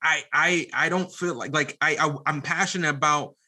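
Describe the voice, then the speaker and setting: A man's voice: fairly high pitch, moderate pace, bright, forceful tone. A man speaking as a podcast guest, candid and earnest, often qualifying and circling back on his own points. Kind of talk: candid conversational interview.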